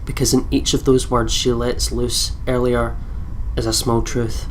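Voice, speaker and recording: Scottish man, let's play host, medium pitch, reading story narration, casual asides, slight background noise. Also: little pitch movement, a little slow. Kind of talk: reading game narration aloud, casual chat.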